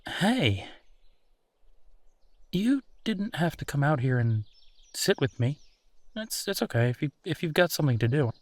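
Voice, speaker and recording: A man's voice: fairly high pitch, sing-song, unhurried, long pauses, dry recording. A man playing a friendly, easygoing werewolf in a roleplay audio drama, talking casually and reassuringly with small hesitations. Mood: easygoing, considerate. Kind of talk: roleplay audio drama, natural one-sided conversation.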